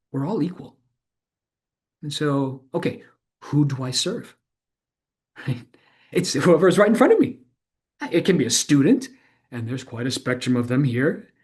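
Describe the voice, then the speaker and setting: Actor as animated, earnest middle-aged businessman, reflective. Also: medium pitch, lightly acted. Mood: earnest, grateful. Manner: halting, searching, self-correcting delivery with emphatic swells. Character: earnest middle-aged former tech entrepreneur, devout and reflective man. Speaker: stage performer of a true-story monologue. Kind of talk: dramatized monologue in conversational interview manner.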